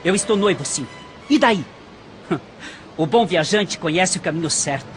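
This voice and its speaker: A big, brazen young Brazilian charmer in an audio drama. His pitch swings widely as he talks down to people with smug, domineering assurance. There is some background noise. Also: animated, moderate pace. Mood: scornful, smug. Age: young adult.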